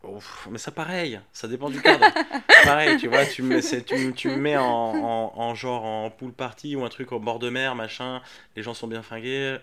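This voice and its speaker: A man, a comedian guest on a podcast, talking in a playful, animated way, laughing often and acting out little scenes. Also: mid-range voice, moderate pace. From Geneva, Switzerland. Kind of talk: casual podcast chat.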